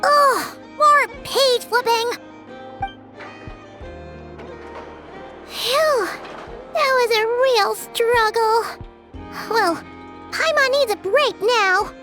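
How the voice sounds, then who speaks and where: A woman voicing a small, childlike female companion sprite in a video game dub, squeaky, exclamatory and easily exasperated. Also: slow pace, over music. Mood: weary, grumbling. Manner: speaks of herself in third person, exclamatory.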